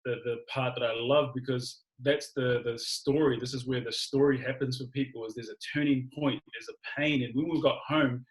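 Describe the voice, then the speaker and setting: A man's voice: medium pitch, steady tone, normal speed, few pauses, rough recording. A man giving a conversational personal testimonial, addressing listeners directly and often repeating phrases. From Australia. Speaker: guest speaker telling personal story.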